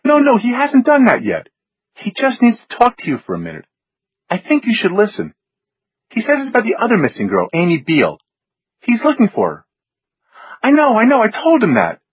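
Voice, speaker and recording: A man's voice: sits high, wildly swooping pitch, moderate pace, pauses often, slightly rough, dry recording, muffled recording, voice pushed up, heavily acted. A man doing an anxious pleading mother. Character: weary middle-aged mother, anxious and pleading.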